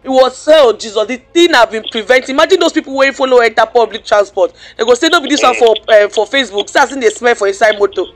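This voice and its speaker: Very high-pitched Nigerian man, indignant. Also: fast, hardly any pauses, soft background music. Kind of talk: animated complaining conversation in mixed English and Pidgin.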